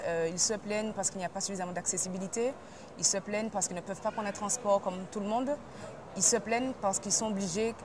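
A woman in her thirties gives an interview in a large exhibition hall. She speaks earnestly and hesitantly, with many fillers, into a lapel mic. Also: medium pitch. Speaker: project manager, interviewee. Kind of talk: on-camera interview. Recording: noisy fair hall. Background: crowd noise of a trade fair.

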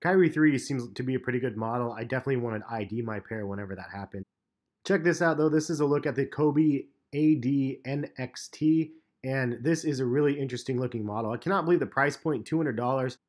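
A man in his thirties giving a relaxed, chatty sneaker review in a vlog, with plenty of ums and uhs. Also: medium pitch, brisk. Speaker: sneaker vlogger. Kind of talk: casual vlog commentary. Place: parked car, and voice-over on store footage.

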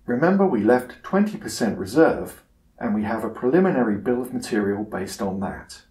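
A man giving a scripted software tutorial narration in a measured, instructional manner. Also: mid-range voice, lively intonation, reverberant.

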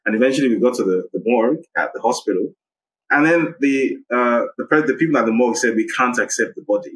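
A man, a guest recounting a personal story in a measured, reflective way. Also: mid-range voice, a bit bright, a little hiss or hum, poor audio. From Nigeria. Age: 30s. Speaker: guest, investigative journalist. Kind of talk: personal account in interview.

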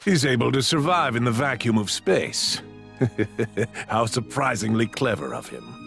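A sardonic, imperious god of destruction from a video game. His mid-pitched voice is condescending and expressive, over faint music. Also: a little slow, gravelly, heavily acted. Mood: dry amusement. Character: haughty, sardonic male cat-like god of destruction.

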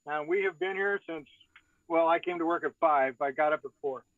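A man's voice: fairly high pitch, brisk, narrow, tinny audio. An older man chatting casually as a songwriter at an online open mic, on a thin video call from inside a van. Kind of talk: casual chat. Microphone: video call device.

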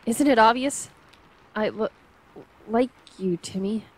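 A woman's voice: fairly high pitch, slow pace, breathy edge, rough recording. An actress playing a bashful, prickly young woman admitting affection in an audio drama.

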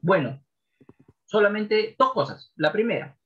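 A man's voice: high voice, lively intonation, a little slow, bright, forceful tone, dull audio. A man in his forties, a sales trainer guest on a podcast interview, speaking from a home office over a video call, emphatic and repeating key phrases.

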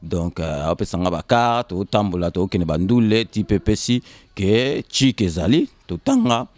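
A man in his fifties from Kinshasa with a Congolese accent, answering casually in short, halting, repeated phrases. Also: on the low side, big pitch swings, darkish tone, no room sound. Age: 50s. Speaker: interview guest. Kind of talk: casual interview storytelling, switching between Lingala and French. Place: indoors on a sofa. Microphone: handheld microphone held by interviewer.

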